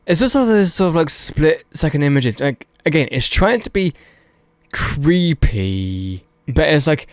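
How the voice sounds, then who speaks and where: A man's voice: a little high, big pitch swings, unhurried, no echo. A man giving casual, unscripted video commentary, rambling and hedging as he goes and repeating words for emphasis. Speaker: video host.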